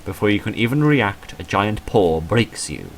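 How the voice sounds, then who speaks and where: A man's voice: lowish voice, big pitch swings, moderate pace, creaky. A man reading visual novel text aloud in a dramatic storytelling way, with dry, casual asides as he plays. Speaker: gamer narrating a playthrough. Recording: noticeable background noise.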